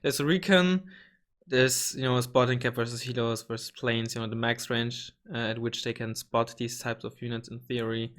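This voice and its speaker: Slightly hoarse, rambling young man. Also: mid-range voice, steady tone, moderate pace, fairly bright tone. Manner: rambling, many ums and restarts, casual swearing. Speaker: gaming channel host. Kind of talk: casual screen-recorded tutorial.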